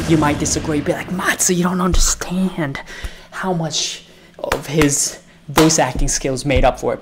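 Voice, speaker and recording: Woman, Japanese native, low voice, playful explainer, casual reaction video. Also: slight background noise. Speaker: Japanese language teacher.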